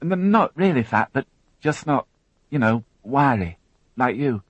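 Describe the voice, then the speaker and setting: Man putting on a raised voice for a tearful young woman, hesitant, halting, dry close sound. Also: mid-range voice, big pitch swings, no room sound, muffled recording, voice pushed up. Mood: shaken. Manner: hesitant, halting, repeats words. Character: shaken young woman, crime witness.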